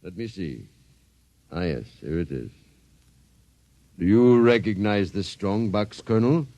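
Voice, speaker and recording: An actor in a 1950s radio drama playing a suave, sinister blackmailer who speaks with courteous, deliberate menace. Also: male voice, on the low side, animated, warm tone, dry recording. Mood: smooth menace. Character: suave sinister doll-shop owner, a blackmailing self-proclaimed genius.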